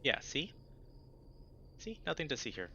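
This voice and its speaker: A man's voice: medium pitch, big pitch swings, measured pace, dull audio, slight background noise. A man streaming casually, reading visual novel text aloud and breaking off to protest to viewers with playful mock innocence. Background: loud game music.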